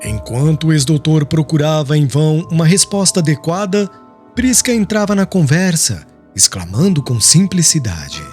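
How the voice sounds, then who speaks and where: Male audiobook narrator, formal, steady, animated pitch, quiet music underneath. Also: moderate pace. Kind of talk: scripted literary narration. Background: faint background music.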